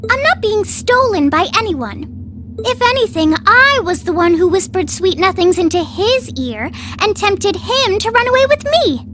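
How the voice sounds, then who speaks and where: An extremely high, lilting girlish voice for a refined young princess in a video game. She is playful and daring, speaking genteelly and without hurry. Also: sing-song, fairly bright tone, slight background noise, exaggerated voice. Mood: teasing. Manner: genteel, teasing, unhurried.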